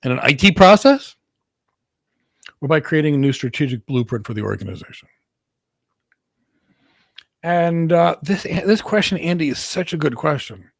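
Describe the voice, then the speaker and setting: A man's voice: on the high side, expressive, normal speed, creaky, pauses often, no echo, clean studio sound. A man from Greece with an American accent, hosting and answering viewers' career questions in an emphatic way, often repeating words for stress. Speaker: host, cloud architect.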